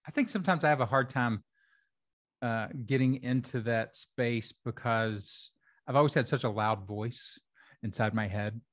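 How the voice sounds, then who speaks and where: A man's voice: mid-range voice, measured pace, a bit bright, dry recording, clean studio sound. A middle-aged man from Kentucky hosting a podcast, musing in a casual chat with wry joking asides, speaking into a desk microphone at home. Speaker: podcast host. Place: home room. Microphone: desk microphone on arm.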